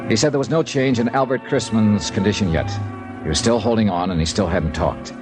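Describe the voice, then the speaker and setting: A man's voice: on the low side, brisk, close to an everyday voice. A man playing a terse hard-boiled radio detective. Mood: tense. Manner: terse, clipped narration. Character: hard-boiled male insurance investigator, narrating. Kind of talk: 1950s radio drama acting. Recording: old radio broadcast with music.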